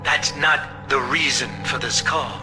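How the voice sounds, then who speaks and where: An actor playing a cold, sadistic espionage chief who speaks in articulate, deliberate threats in an audio drama. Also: male voice, fairly high pitch, fairly even intonation, natural voice. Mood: cold menace. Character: cold sadistic male espionage chief, villain taunting his enemy. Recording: music bed under the voice.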